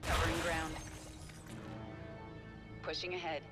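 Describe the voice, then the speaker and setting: Terse psychic war heroine. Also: female voice, sits low, level tone, slow. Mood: focused, determined. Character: battle-ready psychic heroine, wry and determined. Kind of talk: video game character dialogue and unit responses. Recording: loud game music underneath.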